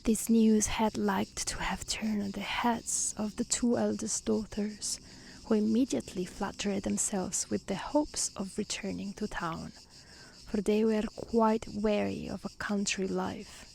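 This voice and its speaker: A woman reads a storybook very gently in a soft-spoken ASMR style. Her delivery is slow and hushed, often near a whisper, with plenty of vocal fry. Faint cricket and insect sounds sit behind her voice. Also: mid-range voice, fairly even intonation, a little hiss or hum, rough recording. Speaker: storyteller reading fairy tales aloud. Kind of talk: soft-spoken ASMR fairy-tale reading. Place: outdoors in nature.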